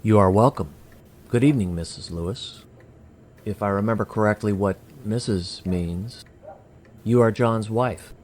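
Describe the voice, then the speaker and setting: A man playing a courteous, calm alien emissary in an audio drama, speaking formally and with measured politeness. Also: fairly low pitch, a little hiss or hum, lightly acted.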